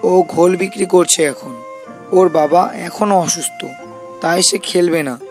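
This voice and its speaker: A young village football teammate in a cartoon , played by a man. He is informal, questioning and pleading in a fairly high voice, with music clearly behind. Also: unhurried, darkish tone. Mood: reporting.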